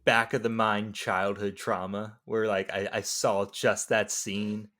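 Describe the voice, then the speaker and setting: A man's voice: lowish voice, little pitch movement, unhurried, fairly bright tone. Casual man retelling scenes with gusto. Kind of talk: casual movie discussion podcast chat.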